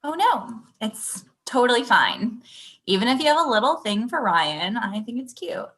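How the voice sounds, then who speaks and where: A woman's voice: a little high, very animated, normal speed, fairly bright tone. An actress plays a suspicious young girlfriend in a comedy read. Her intonation moves around a lot. She is pointed and probing, speaking over a video call. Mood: coaxing. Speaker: actor in a table read. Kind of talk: acted comedy table read.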